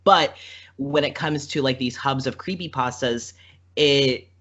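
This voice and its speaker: A man chatting casually as a podcast guest, animated and opinionated, with halting restarts. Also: medium pitch, highs cut off. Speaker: podcast guest, audio drama voice actor. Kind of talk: casual interview chat.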